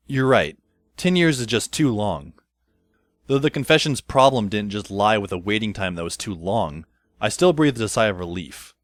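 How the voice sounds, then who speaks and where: A man's voice: fairly low pitch, close to an everyday voice. A man playing an exasperated, sardonic teenage schoolboy who narrates an audio drama in a wry, self-questioning, conversational way. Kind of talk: first-person audio drama narration and dialogue. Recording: music behind the voice. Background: background music.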